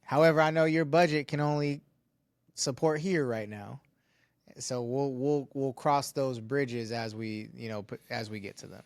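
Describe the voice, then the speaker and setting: A man's voice: mid-range voice, normal speed, dry recording. Plain-spoken male co-host, mid voice, bluntly casual, dry close sound. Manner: blunt, swears, talks business. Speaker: podcast co-host, studio owner. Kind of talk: casual podcast chat.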